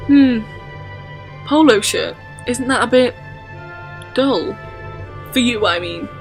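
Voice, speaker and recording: A woman's voice: on the high side, animated, slow pace, creaky, faint music behind, full character voice. A woman playing an inquisitive young female companion of a time traveller in a fan audio drama. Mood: surprised, questioning.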